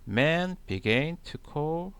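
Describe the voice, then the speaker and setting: Very slow, halting male Bible reader. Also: on the high side, animated, fairly bright tone, clear, resonant tone. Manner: halting, phrase by phrase with long pauses. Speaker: Bible reader, channel host. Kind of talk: slow read-aloud of scripture.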